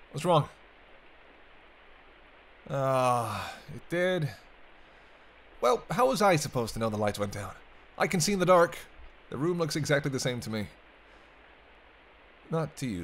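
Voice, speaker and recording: A man's voice: a little high, sing-song, measured pace, long pauses, fairly bright tone, heavily acted. A man playing a sarcastic, teasing captive vampire in casual, sweary banter for an intimate roleplay audio drama. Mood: startled, then taunting. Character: teasing, sardonic male vampire held captive by a hunter. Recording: clear background ambience noise.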